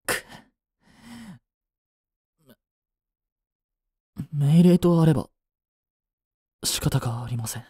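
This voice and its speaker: Man playing a mellow, possessive young butler. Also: a little high, moderate pace, breathy edge, no echo, studio quality. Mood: flustered, protesting. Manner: formal, polite to his mistress, curt to rivals. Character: cool young male butler, devoted, jealous, possessive. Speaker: voice actor. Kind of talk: Japanese romantic audio drama acting.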